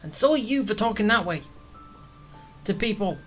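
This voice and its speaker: A woman's voice: medium pitch, animated, normal speed, breathy edge. A woman giving casual, blunt gameplay commentary, often muttering asides to herself. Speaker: gaming video host. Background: game music behind the voice.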